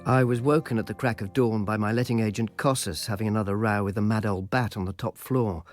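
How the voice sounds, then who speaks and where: A man's voice: fairly low pitch, steady tone, fairly quick, soft background music, natural voice. An actor playing a dry, self-mocking Roman informer who narrates his own story in a radio drama. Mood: wry. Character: wry male Roman informer, world-weary. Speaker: narrator and lead character.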